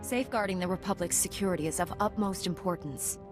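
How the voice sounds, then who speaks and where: A woman playing a calm, resolute knight in a video game, speaking in a composed, earnest and formal way. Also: lowish voice, fast talker, music in the background, lightly acted. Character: calm, resolute female Jedi knight hero. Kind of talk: video game hero dialogue.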